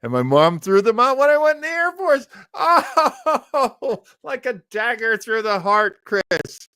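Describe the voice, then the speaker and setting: An American man hosting a casual livestream from his home studio, talking in a halting, earnest, self-deprecating way. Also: very high voice, very animated, bright, forceful tone, clean tone, dry recording. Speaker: live show host.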